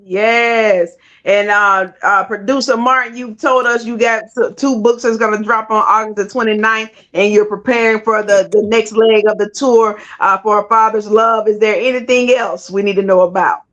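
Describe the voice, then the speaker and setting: A woman's voice: medium pitch, steady tone, normal speed, hardly any pauses, fairly bright tone. A woman hosting a live online interview in an upbeat, emphatic way, talking straight to the audience over an internet call. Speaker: host of a live cast interview show. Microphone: online video call. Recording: dull lo-fi internet call audio.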